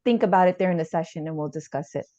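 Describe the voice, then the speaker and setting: A woman playing a calm, supportive family therapist, speaking in a reassuring, slightly hesitant way in a role-played session. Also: lowish voice, very fast, close to an everyday voice. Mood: patient, encouraging. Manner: reassuring, hesitant, repeats gently. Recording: echoey room, slightly dull sound.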